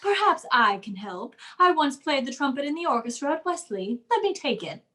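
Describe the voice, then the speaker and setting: Actress as posh snooty society lady, old radio comedy, really high swoopy voice, formal n pompous. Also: sing-song, normal speed, fairly bright tone. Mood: gracious, formal. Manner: formal, grandly pompous diction. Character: haughty, well-bred society lady.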